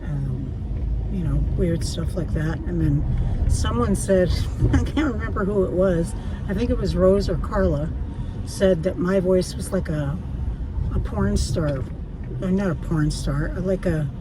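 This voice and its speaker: A woman with a raspy voice, chatting frankly to her viewers while driving, swearing freely. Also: lowish voice, measured pace, distant mic. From Canada. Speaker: vlogger. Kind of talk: casual chat while driving. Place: inside a car. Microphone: phone or camera mounted in the car. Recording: car and road noise behind.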